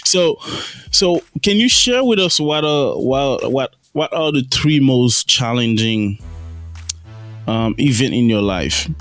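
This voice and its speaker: A man of middle pitch hosts a podcast, recorded dry and close to the mic. He laughs easily and stumbles over his own questions, and faint music runs beneath him. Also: expressive, takes it slowly, fairly bright tone, dry recording. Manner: laughs often, repeats words while forming questions. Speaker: podcast host. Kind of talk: interview questions, casual.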